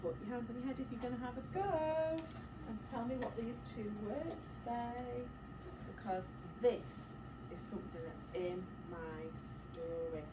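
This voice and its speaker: A woman's voice: on the high side, unhurried, reverberant. Female primary teacher, simple explanations and questions for little ones, recorded at a distance in a noisy room. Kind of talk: interactive story-time lesson for young children. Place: echoey classroom with children.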